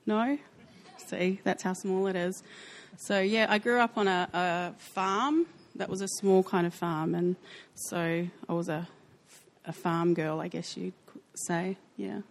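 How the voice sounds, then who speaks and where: Woman, New Zealand accent, soft-spoken, hesitant, church testimony. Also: lowish voice, unhurried, gravelly. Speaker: church member sharing testimony. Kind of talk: personal testimony interview.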